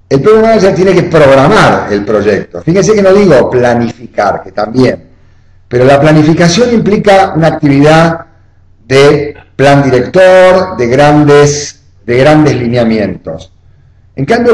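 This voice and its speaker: Guy teaching an online class, middling pitch, explains things patiently, echoey room sound. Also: a little mellow. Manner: explanatory, often adds "o sea" and "¿eh?". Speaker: lecturer. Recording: roomy echo.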